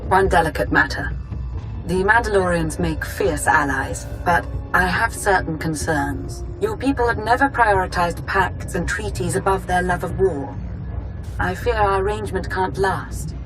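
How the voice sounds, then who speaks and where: Actress as a composed, low-voiced adviser. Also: female voice, natural voice, poor audio. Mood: measured concern. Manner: measured, formal, diplomatic. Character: composed, cautious female adviser and strategist. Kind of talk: video game cutscene acting. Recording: voice over background music.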